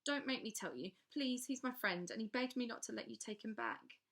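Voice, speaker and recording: A woman's voice: medium pitch, a little slow, fairly bright tone. A woman voicing a frightened but defiant young girl who pleads breathlessly. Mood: desperate.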